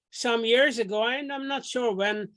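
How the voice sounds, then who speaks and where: An older man from Guyana giving a measured, formal lecture over a video call, often restarting his phrases. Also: extremely high pitch, gravelly, fairly bright tone, no room sound. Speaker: guest lecturer.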